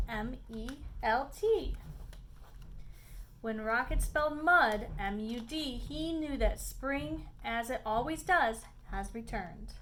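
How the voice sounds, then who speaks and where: High-voiced woman reading a storybook. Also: a bit bright, slight background noise. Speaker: storybook reader. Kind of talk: expressive read-aloud of a children's picture book.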